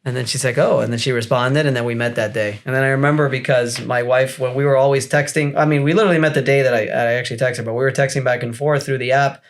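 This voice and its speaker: A man in his forties from Miami, a guest on a podcast, talking casually in a blunt, profane way and quick to agree. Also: medium pitch, fairly even intonation. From Miami, United States. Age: 40s. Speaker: guest, business coach. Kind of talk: casual conversation.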